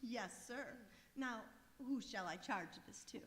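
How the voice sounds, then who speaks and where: A woman's voice: on the high side, a little slow, fairly bright tone. A woman playing a courteous, helpful lingerie saleswoman with polite sales patter in an old-time radio comedy. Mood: attentive. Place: live performance in a museum hall. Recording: reverberant hall, live stage recording.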